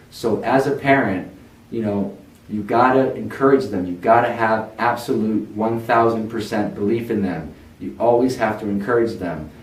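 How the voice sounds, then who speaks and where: A man who runs a learning center, earnestly telling parents his own story about school and his stutter. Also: lowish voice. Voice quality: says he stutters. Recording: echoey room, a bit far from mic.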